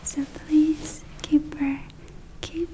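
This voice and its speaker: A woman playing a gentle, caring witch in a whispered ASMR roleplay, speaking softly and soothingly with hesitant phrasing. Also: high voice, level tone, very slow, poor audio. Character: gentle caring witch running a magic spa. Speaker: ASMR performer.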